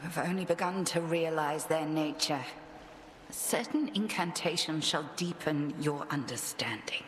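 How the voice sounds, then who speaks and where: A woman playing a stern, formal witch headmistress in a video game, measured and commanding. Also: low voice, a little hiss or hum. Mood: composed, stern. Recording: echoing, loud music behind.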